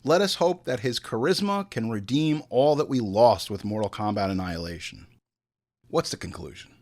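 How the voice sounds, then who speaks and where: A man's voice: medium pitch, expressive, moderate pace, darkish tone. A man hosting a movie podcast, delivering crude, profane comedic film commentary and theatrically acting out imagined dialogue. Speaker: host of a movie review podcast. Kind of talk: comedic film commentary mixing read facts with ranting asides.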